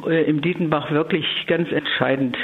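A woman giving a spontaneous political interview as a local council leader, speaking in a measured, emphatic way with careful wording. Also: low-pitched, fairly even intonation. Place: phone call to a radio programme. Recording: narrow-band phone line.

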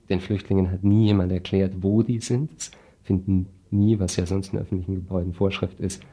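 A man with a deep voice and very flat intonation speaks as an activist interview guest. He explains his points spontaneously and deliberately, with a dry, sardonic, critical edge.

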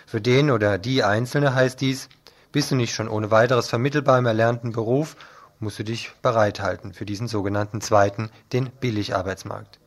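A man's voice: lowish voice, steady tone, normal speed. A man presenting scripted radio news commentary in a pointed, critical manner.

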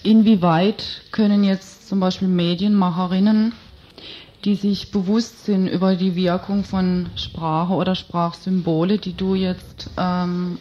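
A woman's voice: mid-range voice, warm tone. A woman hosting an informal radio interview, phrasing things tentatively as she searches for wording. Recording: dull, lo-fi radio recording.

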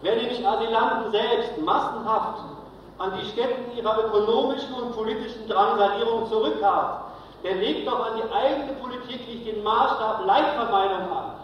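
A man's voice: high voice, moderate pace. A man delivering a polemical political lecture as a congress speaker, emphatic and repeating phrases for stress. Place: large hall, live speech. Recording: very echoey hall, distant, dull, faint noise.

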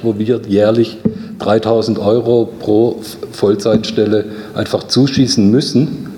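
A man making an insistent, measured appeal to a committee as a welfare organisation representative. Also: on the low side, warm tone. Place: indoor meeting room. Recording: reverberant meeting hall.

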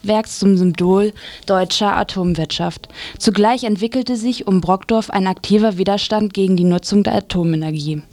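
A woman's voice: medium pitch, moderate pace, dark tone, faint music behind. A woman presenting on radio, reading a scripted news introduction.

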